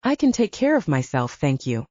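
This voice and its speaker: A woman's voice: deep voice, very animated, moderate pace, mellow, pitched below the performer's own voice. A woman playing a guarded, stubborn, sarcastic heroine with a wry, firm manner in an audiobook. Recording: dull lo-fi, roomy sound.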